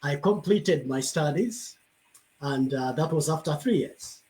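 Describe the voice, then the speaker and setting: A man from Zambia, a pastor, speaking in a somewhat higher voice. He tells his story in a measured, grateful manner, like a guest answering on a video call. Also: lively intonation, a little mellow, poor audio. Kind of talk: panel conversation answer.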